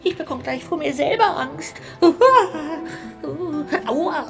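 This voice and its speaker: A woman playing an anxious, childlike little ghost in a children's radio play, with expressive, lamenting exclamations. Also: high voice, very slow, gravelly, over music, exaggerated voice. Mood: horrified.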